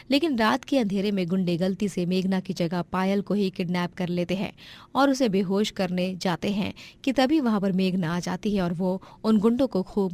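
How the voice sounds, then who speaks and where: A woman's voice: medium pitch, a little mellow. A woman narrating a scripted moral story.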